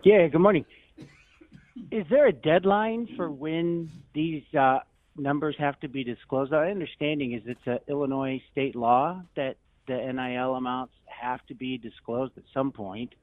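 A man calls a talk radio show on a thin, narrow phone line with no room sound. He asks his questions in a measured, halting way, pausing to find his words. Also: medium pitch, no room sound. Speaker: phone-in caller. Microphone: telephone.

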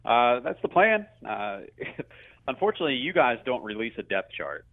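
A man's voice: medium pitch, very animated, normal speed, no room sound. A man talking sports as a radio play-by-play guest, in a chatty, conversational analysis style. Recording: muffled, band-limited phone-like line.

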